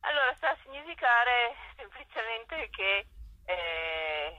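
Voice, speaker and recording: A woman explaining things as an interview guest over a telephone, with hesitant pauses. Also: a little high, big pitch swings, sharp tone, no room sound. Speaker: poetry academy president, interview guest. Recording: dull telephone line.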